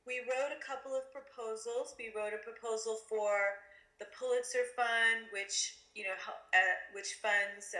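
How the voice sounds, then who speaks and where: A woman's voice: medium pitch, sharp tone, low-quality recording. A woman from Afghanistan who grew up in the States, a documentary filmmaker answering interview questions candidly, with fillers and restarts.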